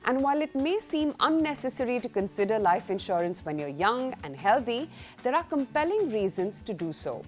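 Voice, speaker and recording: A woman's voice: on the high side, sing-song, faint music behind. Polished Indian woman news anchor. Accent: Indian English. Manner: polished, measured anchor delivery. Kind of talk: scripted broadcast presenting.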